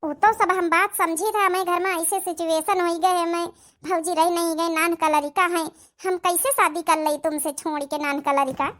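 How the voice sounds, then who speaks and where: A woman's voice: very high voice, steady tone, normal speed, a bit bright. A woman playing a blunt, dutiful young village woman in a cartoon drama, speaking forthrightly with an Awadhi accent. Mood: resigned, defensive. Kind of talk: cartoon comedy-drama dialogue in village dialect.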